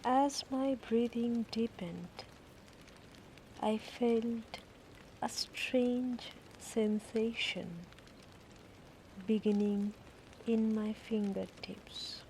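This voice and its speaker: Very slow female sleep meditation narrator. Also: a little high, mellow, a little hiss or hum, low-quality recording. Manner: slow, deliberate phrases with long pauses. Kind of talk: guided sleep meditation, first-person scripted story. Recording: rain ambience behind the voice. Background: falling rain.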